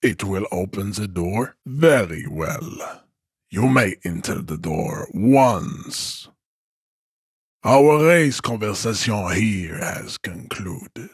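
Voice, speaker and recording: A haughty, grandiose strongman from a video game, speaks very slowly in a low, husky voice. He declaims like a condescending ideologue, pompous and full of contempt. The recording is dry and close. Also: lively intonation, no room sound, exaggerated voice. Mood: pompous scorn. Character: haughty, towering male race-theorist strongman, contemptuous and grandiose. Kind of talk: scripted video game character dialogue.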